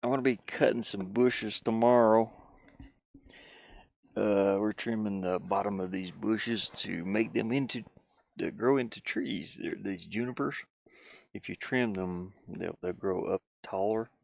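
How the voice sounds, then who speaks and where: Male game dev streamer, gravelly, very dry close sound, hesitant off-the-cuff commentary. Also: fairly low pitch, a little slow, no echo. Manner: rambling, musing, hesitant. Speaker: game developer live streamer. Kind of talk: casual live stream commentary, thinking aloud.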